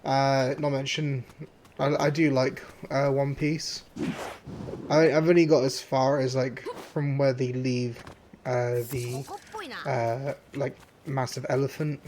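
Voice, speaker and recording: A hoarse man streaming a game, chatting casually and rambling with many fillers and restarts. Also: medium pitch, little pitch movement, slow, background noise. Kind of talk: casual gameplay commentary.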